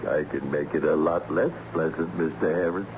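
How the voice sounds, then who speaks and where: A menacing hired thug in an old radio crime drama. His voice is deep, with tough, slangy delivery. The recording is dull and clearly noisy. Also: steady tone, normal speed. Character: thuggish, menacing hired muscle.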